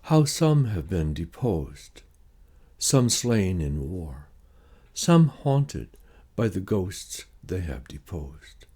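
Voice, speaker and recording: A man reciting Shakespeare monologues in a slow, theatrical and deliberate way, with weighty pauses. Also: sits low, warm tone, breathy edge.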